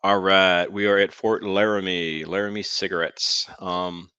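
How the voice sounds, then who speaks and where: A man's voice: low-pitched, flat delivery, unhurried, bright, forceful tone, smooth, no echo. A man streaming a game, chatting casually with his viewers and laughing often. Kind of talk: casual live-stream commentary. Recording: dull lo-fi dry sound.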